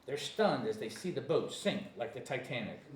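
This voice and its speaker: A man narrates screenplay stage directions in a lively, swinging delivery. He trips on the odd word. The room is echoey and the mic sits at a distance, with faint noise. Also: mid-range voice, moderate pace. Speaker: narrator at a screenplay table reading.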